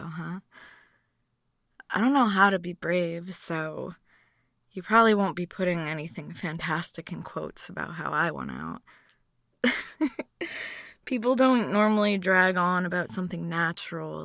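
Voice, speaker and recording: A woman's voice: fairly low pitch, gravelly, a bit bright, no echo, studio quality, exaggerated voice. A woman acting a chatty, philosophically morbid date in an intimate ASMR roleplay, musing and teasing as she rambles to the listener. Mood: quiet, reflective.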